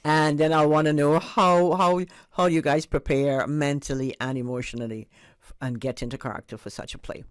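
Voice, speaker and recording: A woman's voice: low voice, fairly even intonation, normal speed, clear, resonant tone, no room sound, clean studio sound. A woman with a Caymanian accent hosting a radio talk show, playful and coaxing her guests. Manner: playful, coaxing, laughs often. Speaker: radio host. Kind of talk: casual radio interview.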